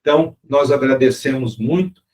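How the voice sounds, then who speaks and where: A man's voice: mid-range voice, normal speed, a little mellow. A man hosting a video-call interview, courteous and formal, closing with blessings. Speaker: interview host.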